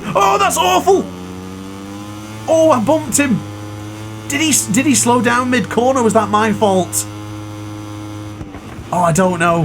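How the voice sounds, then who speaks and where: A man commentating his own racing game run in a chatty, excitable way, with a sore throat. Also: high voice, rough recording. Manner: excitable, chatty, exclaims at crashes. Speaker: gaming commentator. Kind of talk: live gameplay commentary.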